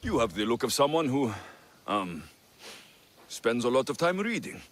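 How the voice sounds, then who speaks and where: An actor playing a confident, wry mercenary warrior of ancient Greece, dry and teasing, in video game dialogue. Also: male voice, mid-range voice, big pitch swings, moderate pace, close to an everyday voice.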